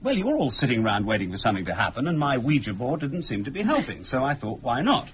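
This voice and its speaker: A man's voice: mid-range voice, huge pitch swings, fast talker, slight background noise. A man acting in a radio drama as a glib, teasing husband who talks wryly and likes to reason aloud. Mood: sheepish, defensive. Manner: wry, talkative, reasoning aloud.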